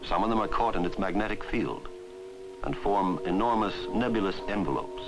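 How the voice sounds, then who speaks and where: A man reading scripted narration for a 1960s educational film in a measured, formal way. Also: low-pitched, rough recording. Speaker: documentary narrator. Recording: 1960s film soundtrack, loud music. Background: loud background music.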